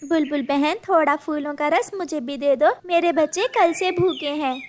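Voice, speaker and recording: A woman's voice: high voice, normal speed, a little hiss or hum, voice pushed up, lightly acted. A woman voicing a small mother sparrow in a children's cartoon, sweet and pleading but clever.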